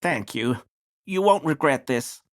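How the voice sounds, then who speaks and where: A man's voice: fairly high pitch, expressive, unhurried. A male actor playing a nervous, apologetic townsman in a video game, pleading and hesitant as he answers to the police. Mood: apologetic, obliging. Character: nervous, grovelling small-time bigoted townsman under police questioning. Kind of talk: scripted video game character dialogue.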